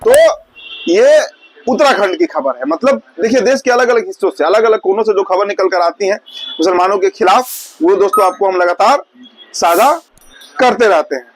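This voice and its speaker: A male news presenter with a strikingly high voice for a man. He speaks conversationally, explaining each item to the audience and restating phrases as he goes. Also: low-quality recording. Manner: conversational, explanatory, repeats and rephrases points. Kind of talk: informal news commentary addressed to viewers.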